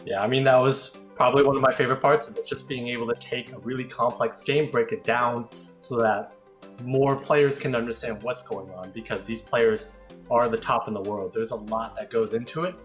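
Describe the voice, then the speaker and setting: A man's voice: mid-range voice, fairly even intonation, a little fast, faint music behind. A man who works as an esports caster, answering interview questions in a casual, conversational way.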